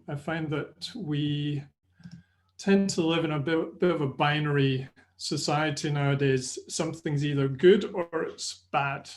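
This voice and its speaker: A middle-aged Scottish man with a slightly higher voice talks through a webinar from a small indoor room. He speaks on a video call mic in a friendly, chatty way, full of ums and quick restarts. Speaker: webinar presenter. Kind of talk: live online lecture. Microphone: video call webcam.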